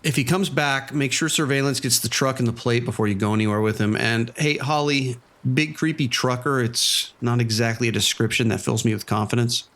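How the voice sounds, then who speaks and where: A man playing a dry, cautious vice sergeant in a police radio drama, giving orders with deadpan authority. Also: medium pitch, brisk, hardly any pauses, lightly acted. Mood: cautious, instructive.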